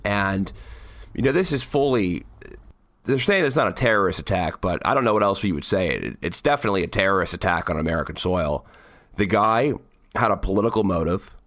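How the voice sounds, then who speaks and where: Low, raspy man with a flu. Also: expressive, brisk, fairly bright tone, dry recording. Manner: rambling, restarts sentences often. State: hoarse from flu. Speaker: podcast co-host. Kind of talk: casual political chat.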